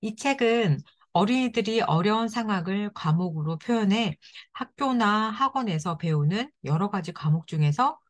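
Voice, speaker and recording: A woman as instructor, narrating a children's craft and writing lesson in a polite, clear, step-by-step way. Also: medium pitch, lively intonation, normal speed.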